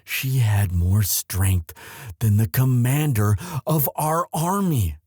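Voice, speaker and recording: A man playing an earnest, lovestruck young prince in an audio drama, speaking formally and haltingly as he implores his father. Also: medium pitch, expressive, a little mellow, husky, no echo, clean studio sound. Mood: solemn, devoted. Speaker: voice actor in romance audio roleplay. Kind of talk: dramatic one-sided audio roleplay.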